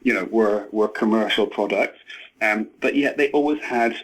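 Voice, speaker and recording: A man in his forties giving a thoughtful interview, speaking haltingly with repeated words and many verbal hedges. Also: lowish voice, poor audio. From Scotland. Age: 40s. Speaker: interview guest, novelist and screenwriter.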